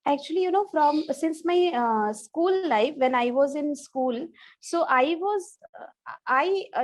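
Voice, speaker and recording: A woman whose first language is Bangla, speaking English slowly and hesitantly on a video call, with many restarts and fillers as she practices. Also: high voice, rough recording. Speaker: English learner. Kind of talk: online English practice conversation.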